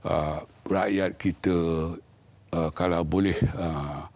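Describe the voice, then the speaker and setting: A man from Malaysia, a professor guest on a studio panel discussion, speaking in a measured, thoughtful way with frequent hesitations. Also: low-pitched, fairly even intonation, gravelly, a little mellow.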